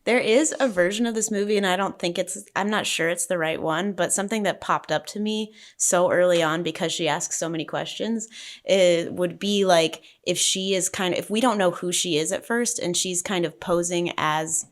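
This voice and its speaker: A woman's voice: lowish voice, moderate pace. A woman in a casual group discussion, slipping into imagined bits of dialogue as she explains. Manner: acts out imagined lines of dialogue. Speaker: panelist in a script discussion.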